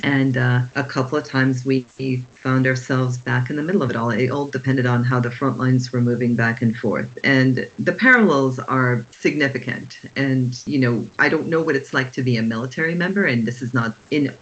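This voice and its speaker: A middle-aged woman from Croatia, an emergency physician recounting experiences in a measured, reflective way with pauses. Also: rumbling low, muffled recording. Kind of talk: conversational podcast storytelling.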